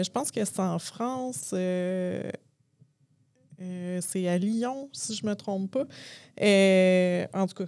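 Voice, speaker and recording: A woman's voice: medium pitch, warm tone, no room sound, studio quality. A woman from Abitibi-Témiscamingue with a Québécois accent, sharing her opinions on a panel with many hesitations. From Abitibi-Témiscamingue, Quebec. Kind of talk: panel discussion.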